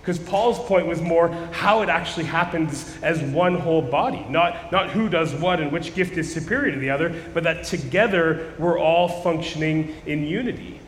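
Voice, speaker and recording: A male pastor, a little hoarse, delivers a conversational sermon with a fairly high voice. His words ring through a large, echoey church hall. Faint ambience sits under the speech. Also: moderate pace, hardly any pauses, a bit bright, a little hiss or hum. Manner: casual, conversational preaching with frequent restarts. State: slightly hoarse, losing his voice. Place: church sanctuary.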